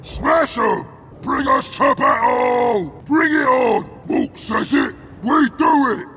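A man voicing a brutish, bloodthirsty orc warrior for a video game, bellowing short, rough war cries, eager for battle. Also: extremely high pitch, slow, sharp tone, raised voice, a little hiss or hum, heavily acted. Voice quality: gravelly, rough.

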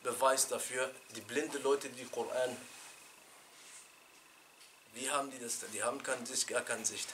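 A middle-aged man teaching an informal religious lesson in a small room, animated and chatty, laughing with his students and restarting his sentences. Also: mid-range voice, unhurried, pauses often, a little hiss or hum. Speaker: sheikh teaching a Quran class. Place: small indoor classroom.

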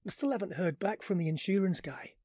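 A man's voice: high voice, animated, quick pace, a little mellow. An actor playing an irritable, put-upon male tenant who tells his story in a sardonic, grumbling, slangy audio drama monologue. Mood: tired, uneasy.

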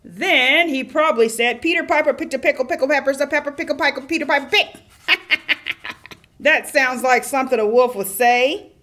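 A woman as a goofy, high-pitched puppet wolf. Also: normal speed, a bit bright. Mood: silly, amused. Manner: goofy, scatterbrained, singsong. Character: silly, muddled, cheerful puppet wolf telling stories wrong. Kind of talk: children's puppet theater storytelling.

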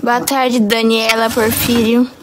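A woman's voice: a little high, flat delivery, slow pace. A woman with a Brazilian Portuguese accent, reading viewer comments aloud and greeting people during a live stream. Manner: reads comments aloud, laughs a lot. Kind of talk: casual live-stream chat. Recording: noisy sewing room.

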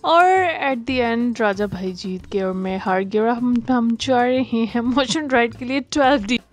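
A woman's voice: a little high, unhurried, soft background music. A woman narrating a casual family day-out vlog in a cheerful way, often mixing English phrases into her speech. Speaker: vlogger.

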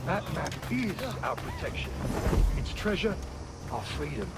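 An actor playing a greedy, proud, lovesick smuggler in a video game, with a florid, haughty manner. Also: male voice, sits high, sing-song, measured pace, gravelly, heavy background noise, heavily acted. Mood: smooth, evasive. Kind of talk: video game drama acting. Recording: very loud music behind. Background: music.

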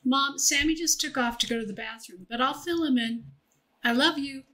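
A woman's voice: high voice, moderate pace, pushed and bright. A woman playing a brash, impatient young woman in audiobook dialogue, casual and streetwise. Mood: breezy, unruffled.

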